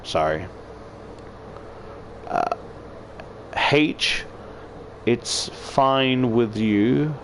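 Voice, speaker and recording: A man's voice: mid-range voice, very animated, very slow, pauses often, a bit bright, slight background noise. A man reading a visual novel aloud as a gaming YouTuber, with casual, profane commentary and exclamations.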